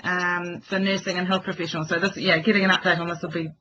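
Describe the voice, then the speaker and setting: A New man gives an easygoing, friendly introduction on a web call. His voice is high and bright for a man. The call audio is muffled, with faint noise behind it. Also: flat delivery, fast. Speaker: health lecturer.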